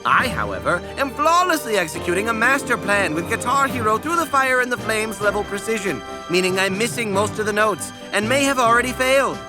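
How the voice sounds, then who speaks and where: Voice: medium pitch, big pitch swings, a little fast, hardly any pauses, lightly acted, rough recording. An actor playing a smug comic narrator, delivering scripted parody narration in a rambling, mock-grandiose way. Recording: music behind the voice.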